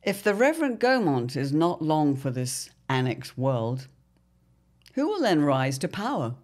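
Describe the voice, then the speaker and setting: A narrator of a period audio serial, reading scripted copy in a theatrical, deliberate style. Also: medium pitch, very animated, a little mellow.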